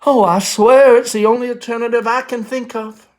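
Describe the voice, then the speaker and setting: A man's voice: extremely high pitch, fairly even intonation, moderate pace, pitched above the performer's own voice. A man voicing a domineering, anxious middle-aged Southern mother in an emphatic, theatrical stage play reading. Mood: bitter pleading.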